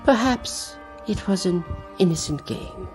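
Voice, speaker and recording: A woman's voice: lowish voice, lively intonation, unhurried, a little mellow. A woman game master narrating a tabletop roleplay in a theatrical, suspenseful manner full of rhetorical flourish. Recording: music behind the voice. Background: background music.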